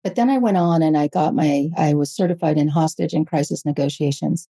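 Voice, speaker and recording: Middle aged woman coach, kinda low mellow voice, explains things slowly step by step. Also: breathy edge. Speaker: parenting coach, guest. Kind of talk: casual conversation.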